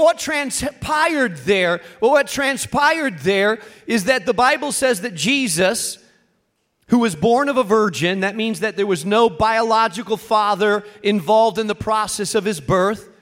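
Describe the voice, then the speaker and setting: A man preaching a sermon as a pastor, speaking in short, weighted phrases with dramatic pauses and emphatic repetition. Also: sits high, a bit bright.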